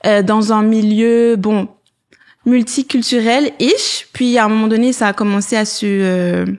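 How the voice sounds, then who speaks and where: A woman's voice: a little high, fairly even intonation, unhurried, a little mellow. A woman, talking as a podcast guest in a reflective, hesitant way and mixing in English. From Montreal, Canada. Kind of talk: casual podcast chat.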